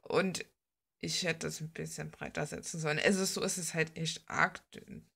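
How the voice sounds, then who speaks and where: A woman's voice: deep voice, fairly even intonation, moderate pace, creaky, a bit bright, husky. A woman chatting casually and bluntly in slangy, rambling fashion, like an informal art vlogger. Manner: informal, blunt, slangy, gushing about details. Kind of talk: casual rambling chat while drawing.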